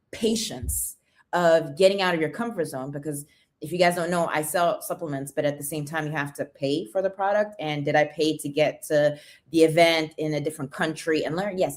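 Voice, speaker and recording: A woman's voice: sits low, moderate pace. Motivational woman greeting livestream viewers. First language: not English. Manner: energetic, greets viewers by name, lots of "right?". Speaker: livestream host, supplement seller.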